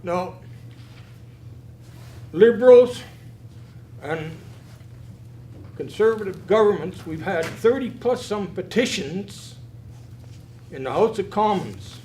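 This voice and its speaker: Indignant older man, high voice. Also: expressive, takes it slowly, long pauses, dark tone, echoey room, a little hiss or hum. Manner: blunt, indignant. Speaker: audience member and veteran. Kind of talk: public question at a forum. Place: community hall.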